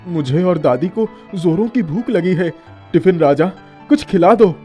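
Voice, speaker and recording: A performer voicing an earnest, kind-hearted young male college student in a moral story cartoon, polite and expressive. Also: medium pitch, a little slow, soft background music, close to an everyday voice. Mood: excited.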